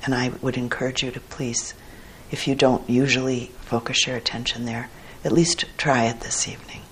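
A woman teaching a guided meditation in a gentle, measured manner with pauses between short phrases and a low, husky voice. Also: fairly even intonation, a little hiss or hum, rough recording.

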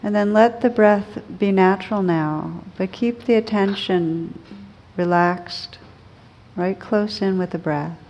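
A woman teaching meditation, guiding listeners gently and unhurriedly, with pauses between short phrases. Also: medium pitch, muffled recording. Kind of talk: guided meditation.

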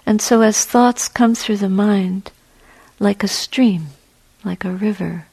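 A woman meditation teacher speaks very slowly and gently, leaving space between short phrases. Her soft voice is husky with a creaky edge, guiding listeners step by step in a measured way. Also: mid-range voice, no room sound, rough recording. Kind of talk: guided meditation talk.

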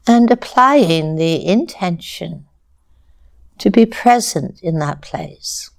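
Very slow, low-pitched woman guiding meditation. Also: gravelly. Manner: calm, measured delivery with long pauses between short phrases. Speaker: meditation teacher. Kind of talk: guided meditation.

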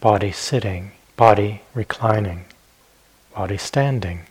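A man teaching guided meditation in a gentle, unhurried manner, leaving long pauses between phrases. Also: on the low side, slightly rough, rough recording.

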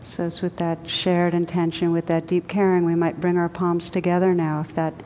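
A woman with a fairly low voice leads a guided meditation for a group. She speaks gently and without hurry, leaving long pauses between phrases. The recording has clear background noise with a low rumble. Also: level tone, dull audio. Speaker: meditation teacher.

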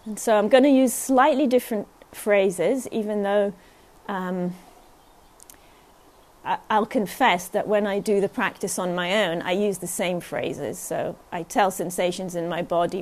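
Woman, meditation teacher at a retreat, gentle and unhurried, keeps checking in with the group. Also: medium pitch. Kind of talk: guided meditation talk at a retreat.

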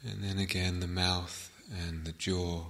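A man giving guided meditation instructions as a teacher, gently and unhurriedly, with long pauses. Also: low-pitched, level tone, vocal fry.

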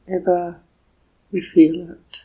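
Slow, husky woman guiding meditation. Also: fairly low pitch, little pitch movement, muffled recording. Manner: gentle, deliberate, with long pauses between short phrases. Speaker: meditation teacher. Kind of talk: guided meditation.

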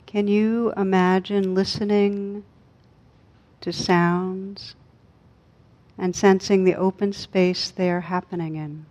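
A woman leading a guided meditation as a teacher, speaking calmly and unhurriedly with long pauses. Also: mid-range voice. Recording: dull, lo-fi recording.

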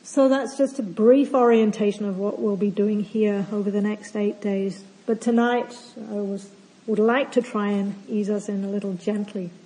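A woman meditation teacher giving a calm, gentle opening talk at a retreat. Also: medium pitch, moderate pace, poor audio. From not from Australia. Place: retreat centre hall.

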